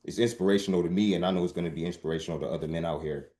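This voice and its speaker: A man's voice: sits low, fairly even intonation, racing, dark tone. A man co-hosting a podcast, speaking casually and filling his speech with "like". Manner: casual, heavy with filler "like". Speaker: podcast co-host. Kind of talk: casual conversation. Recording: roomy echo.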